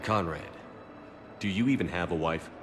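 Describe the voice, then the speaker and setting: An actor playing a steady human soldier commander in a video game cutscene, speaking in a calm, dry, deadpan way. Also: male voice, sits low, normal speed, music in the background, close to an everyday voice.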